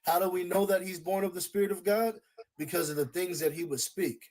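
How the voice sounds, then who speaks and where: A man's voice: sits high, fairly quick, darkish tone. A man preaching in a religious debate, insistent and repetitive, cutting in to keep the floor.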